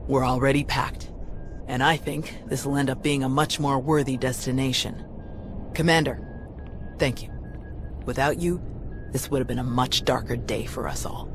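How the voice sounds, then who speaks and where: A man's voice: medium pitch, steady tone, moderate pace, pauses often, background noise, lightly acted. Man playing an earnest, humble warrior monk. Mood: warm, hopeful. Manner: sincere, earnest. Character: earnest, humble Jedi warrior, quietly resolute. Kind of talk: video game cutscene acting.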